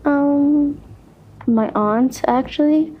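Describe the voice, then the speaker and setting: A young woman answering on a student panel, unhurried and hedging her answers. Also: sits high, lo-fi sound, slight background noise. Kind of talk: casual panel discussion.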